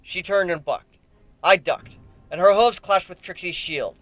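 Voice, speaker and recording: A man giving an animated, theatrical dramatic reading of a first-person fan fiction story. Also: high-pitched, unhurried, no echo. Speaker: amateur story narrator. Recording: background music under the voice.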